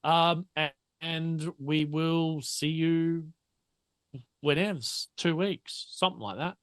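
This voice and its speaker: Bright, clear man chatting slowly. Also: fairly high pitch, no room sound. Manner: rambling, self-deprecating, jokey. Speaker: game master and stream host. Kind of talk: casual stream sign-off chat.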